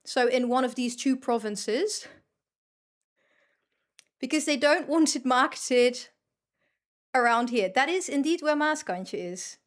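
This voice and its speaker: A woman's voice: high voice, lively intonation, slow pace, pauses often. A woman with a Dutch accent chatting casually as she livestreams a game, with a blunt, sweary, teasing manner. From Netherlands. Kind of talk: casual chat while gaming.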